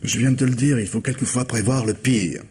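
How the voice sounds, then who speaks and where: An actor playing a weary, evasive man of forty, an anxious and loving husband who speaks haltingly and pleadingly in an old French radio mystery. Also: mid-range voice, a little mellow, slight background noise, close to an everyday voice. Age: 40s.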